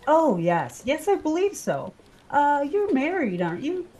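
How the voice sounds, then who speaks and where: A woman's voice: high-pitched, very animated, a little slow, dark tone. A woman playing a sly, inquisitive office colleague in an audio drama, arch and probing.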